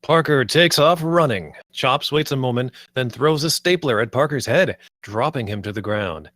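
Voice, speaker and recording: A man's voice: mid-range voice, animated, normal speed, fairly bright tone, dry recording, clean studio sound. A man narrating the stage directions of a script at a table read.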